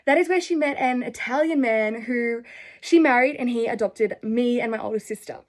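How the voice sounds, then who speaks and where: A woman's voice: fairly high pitch, moderate pace. A young Australian woman telling personal stories to camera in an earnest, effusive, rambling way. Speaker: spiritual vlogger. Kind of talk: casual personal storytelling to camera.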